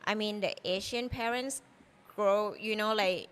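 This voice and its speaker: A woman's voice: medium pitch, unhurried, smooth. A woman in her thirties from Thailand, with a Thai accent and Thai as her first language, chatting loosely as a chef guest in an interview. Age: 30s. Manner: chatty and loose, full of "you know" and "like". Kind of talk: casual interview.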